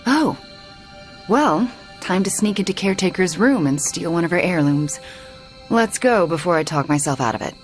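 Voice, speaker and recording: A woman plays an earnest young superheroine in a game cutscene, easily flustered and hesitant. Her slightly low voice moves around animatedly in pitch. Music is prominent behind her. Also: expressive, normal speed, natural voice. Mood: eager. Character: earnest, nervous young superheroine, awkward at lying. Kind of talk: video game cutscene acting.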